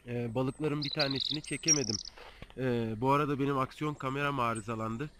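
A man in his thirties speaks casually into a phone held at arm's length, high on a mountain. He talks in a relaxed way, with frequent hesitation sounds. Heavy outdoor noise and birdsong fill the recording. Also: fairly quick. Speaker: fishing vlogger. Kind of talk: casual talk to camera. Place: outdoors on a mountainside above the clouds.

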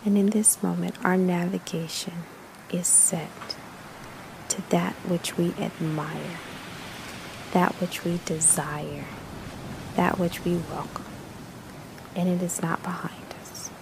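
A woman narrating a guided meditation in a soothing, hushed manner, with breathy phrase endings and long pauses between short phrases. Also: on the low side, little pitch movement, noisy background.

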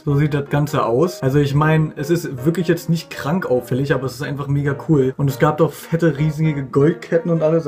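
Chatty young man vlogging. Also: medium pitch. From Germany. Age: young adult. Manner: relaxed, chatty, slangy. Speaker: vlogger, au pair. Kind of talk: casual vlog chat. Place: outdoors at a playground, later a bedroom at home. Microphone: phone camera held at arm's length.

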